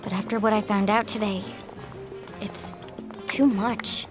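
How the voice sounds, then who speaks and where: An actress voicing a heartfelt young female musician in a fantasy game, casual and expressive. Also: mid-range voice, unhurried, over music, lightly acted. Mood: troubled, downcast.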